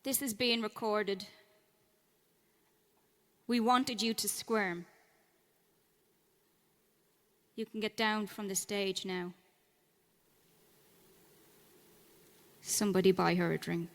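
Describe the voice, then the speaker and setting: A woman's voice: medium pitch, steady tone, unhurried, pauses often, long reverb tail, natural voice. A woman acting in a live horror audio drama, playing someone reading an eerie message onstage in a cellar venue. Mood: menacing. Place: cellar performance venue before an audience.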